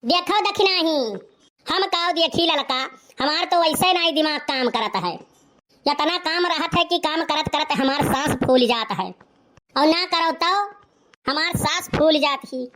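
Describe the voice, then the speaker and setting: Lady doing high pitched awadhi village mom in a cartoon, scolding n sarcastic, fed up. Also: a little slow, fairly bright tone. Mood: exasperated. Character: scolding, sharp-tongued, exasperated village mother or aunt. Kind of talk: comic cartoon dialogue.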